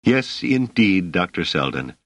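A man's voice: sits low, very animated, a little slow, no echo, exaggerated voice. A man voicing a plump, merry, middle-aged motherly woman in an audiobook, gracious and politely chatty. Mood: pleasantly amused.

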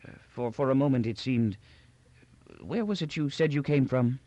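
A man voicing a gentle, confused elderly emperor from a novel, with a quavering, halting voice and a hesitant, forgetful manner. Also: medium pitch, animated, dry recording, highs cut off, pitched above the performer's own voice, lightly acted. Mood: confused, faltering. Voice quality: quavering, halting old man's voice.

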